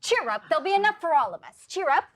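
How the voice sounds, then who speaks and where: A woman's voice: extremely high pitch, sing-song, quick pace, no room sound. A woman acting a bossy, jolly troupe comedian with hammy, mock-grand delivery in a stage play. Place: stage performance.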